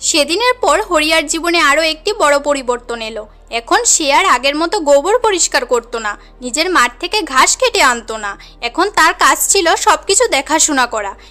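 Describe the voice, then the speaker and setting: A woman tells a cartoon story in a high voice. The delivery is scripted storybook narration, with soft music underneath. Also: sing-song, normal speed.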